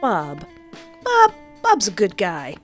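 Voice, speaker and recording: Woman, game streamer, medium pitch, expressive, playful, read-aloud narration and commentary, soft music behind. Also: takes it slowly.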